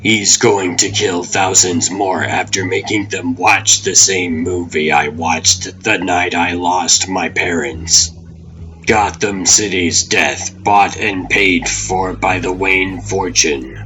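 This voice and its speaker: A man's voice: low-pitched, fairly even intonation, slow pace, bright, forceful tone, slight background noise, exaggerated voice, poor audio. A man playing a brooding, grieving masked vigilante hero in a comic dub, grave and solemn. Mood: grim. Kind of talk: fan comic dub acting.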